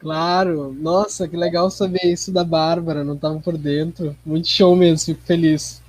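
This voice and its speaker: A man's voice: on the high side, level tone, normal speed, a little mellow, no room sound. A Brazilian man talking informally as an interview guest about theatre work, often checking in with small tag questions. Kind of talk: conversational interview answer.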